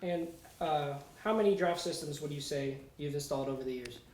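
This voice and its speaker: A man's voice: fairly high pitch, a little fast, a little mellow. A man working as an interviewer, putting prepared questions to his guest. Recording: roomy echo with background noise.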